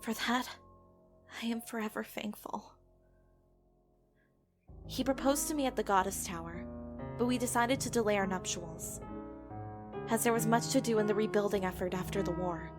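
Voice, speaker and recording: A woman voicing a gentle, long-lived wife with a soft, tender, plaintive way of speaking in a fan drama dub. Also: medium pitch, moderate pace, long pauses, close to an everyday voice. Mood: tender, reflective. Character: gentle grieving wife, long-lived, mourning lost friends. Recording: music bed with plucked guitar.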